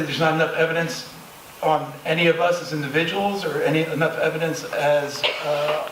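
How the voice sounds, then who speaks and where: A man speaks from off the mic in a heavily echoing, noisy room. He gives serious, halting panel remarks with very little movement in his pitch. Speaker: panelist, clinician in private practice. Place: live panel event in an echoey room. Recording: echoey room, distant mic, clear noise.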